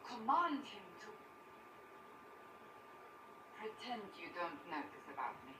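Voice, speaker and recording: A woman's voice: medium pitch, very animated, takes it slowly. An actress playing a proud, defensive warrior woman in a video game, clipped and easily flustered. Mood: gushing, embarrassed. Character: proud, defensive warrior woman, secretly smitten with romance tales. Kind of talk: dramatic game dialogue. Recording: roomy, distant, very noisy game capture.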